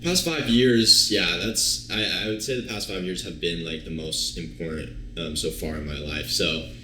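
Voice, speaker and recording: A young man in his early twenties with a very low voice that carries a sharp, forceful brightness. He tells his story loosely, full of fillers and restarts. The recording has a roomy echo around him. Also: normal speed, slight background noise. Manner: relaxed, rambling, many fillers and restarts. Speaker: guest, online fitness coach. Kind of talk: casual storytelling interview.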